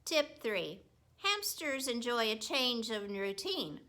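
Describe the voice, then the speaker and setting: Bright, unhurried woman reading a storybook. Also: on the high side, big pitch swings. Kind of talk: children's storybook narration.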